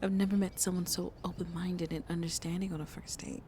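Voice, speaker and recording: A woman playing a shy, lonely vampire in an intimate ASMR roleplay, speaking softly and hesitantly, often half whispering. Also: fairly low pitch, level tone, slight background noise, natural voice. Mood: apologetic, conflicted. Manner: slow, hesitant, halting, soft and breathy. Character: shy, lonely female vampire on a tender first date.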